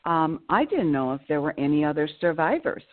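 A woman's voice: low-pitched, big pitch swings, a little fast. Woman on a tinny phone line. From Illinois. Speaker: guest, nurse and cancer survivor. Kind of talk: phone-in radio interview.

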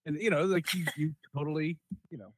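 A man's voice: on the high side, steady tone, fairly quick, dry recording. A man hosting a podcast in casual chat, with an informal, exclamatory way of talking. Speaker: podcast host.

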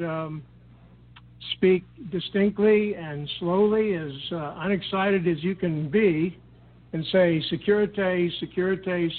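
An older American man speaking in a measured, halting way with frequent throat clearing, explaining things as an interview guest. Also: a little high. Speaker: podcast guest, experienced recreational boater. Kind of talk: unscripted explanatory interview talk. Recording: tinny phone line with clear noise.

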